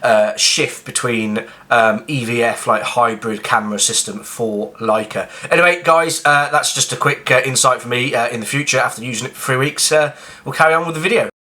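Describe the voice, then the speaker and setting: A man who is sick, giving a quick, informal aside about a camera with lots of fillers. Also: mid-range voice, sharp tone. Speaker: camera reviewer. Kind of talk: casual recorded aside.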